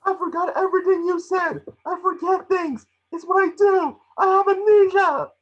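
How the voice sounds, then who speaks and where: A man voicing a small wisecracking Pikachu detective, excitable, sarcastic and fretful, in an amateur table reading. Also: extremely high pitch, normal speed, dull audio. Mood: panicked.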